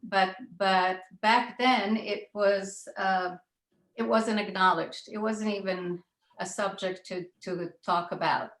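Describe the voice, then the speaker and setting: An older woman from Israel shares personal memories on a panel. She speaks deliberately, at a medium pitch, repeating phrases for emphasis. The recording has a noticeable room echo. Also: steady tone, fairly bright tone. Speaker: panelist, child of Holocaust survivors. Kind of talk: personal recollection in a panel discussion. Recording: echoey room sound.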